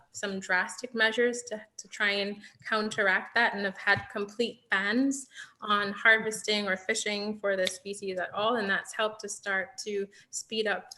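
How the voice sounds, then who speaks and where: A woman speaks as a scientist guest from the Bahamas, with a distinctly bright voice at a middling pitch. She explains her work informally, as one does on a video call.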